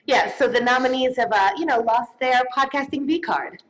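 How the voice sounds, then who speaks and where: A woman's voice: high voice, normal speed, a bit bright, poor audio. A woman casually presenting awards live on stage in a playful, joking manner.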